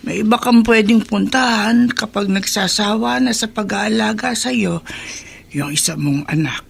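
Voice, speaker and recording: A woman playing a wistful, lonely elderly woman with a raspy voice and Filipino accent in a radio drama. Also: medium pitch, a little slow, full character voice.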